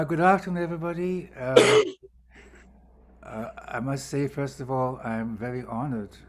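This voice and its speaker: A man's voice: mid-range voice, a little hiss or hum. A man in his fifties with a Scottish accent, a composer speaking earnestly at a gala and repeating words as he thinks.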